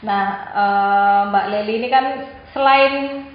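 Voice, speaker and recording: Female podcast host; attentive interviewer, many soft "hmm"s; heavy room echo, muffled. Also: mid-range voice, slow pace. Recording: very echoey, dull podcast audio.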